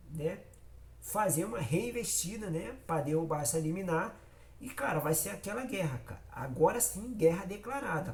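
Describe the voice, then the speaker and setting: A man's voice: on the high side, a little mellow. A man from Rio with a Carioca accent talks straight to his viewers in a casual fan vlog. He has a cold and his voice comes out nasal. He repeats himself for emphasis, hammering each point home. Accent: Carioca Brazilian Portuguese. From Rio de Janeiro, Brazil. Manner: repetitive and emphatic, addresses viewers directly. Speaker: football fan channel host.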